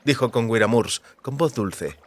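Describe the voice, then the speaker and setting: A man's voice: medium pitch, lively intonation, moderate pace. A man reading scripted literary fantasy fiction as an audiobook narrator. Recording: clear water and boat ambience behind. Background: lapping water and rowing boat sounds.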